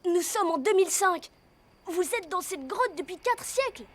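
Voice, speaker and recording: A woman voicing a nervous, pleading young schoolboy in an animated film, with music behind. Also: extremely high pitch, normal speed. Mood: explaining. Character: timid young schoolboy, eager to belong.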